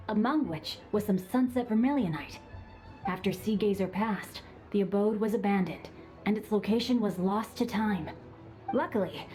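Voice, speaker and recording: A woman's voice: medium pitch, warm tone, natural voice, low-quality recording. Woman voicing a courteous young nobleman. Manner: courteous, formal, composed. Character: refined young nobleman, polite and witty. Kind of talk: game dialogue.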